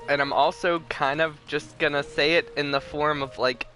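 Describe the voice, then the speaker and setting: Bright-voiced casual male streamer. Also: fairly high pitch, steady tone, moderate pace. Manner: informal, wry, self-deprecating. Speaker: game streamer. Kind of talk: casual livestream chat and commentary. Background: game music.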